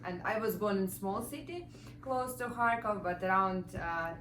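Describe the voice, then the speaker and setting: A woman's voice: medium pitch, normal speed, a bit bright. A woman from Ukraine with a Ukrainian accent, telling her story in simple, hesitant English. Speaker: wife sharing her story. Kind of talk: casual testimonial. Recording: echoey room with clear background noise.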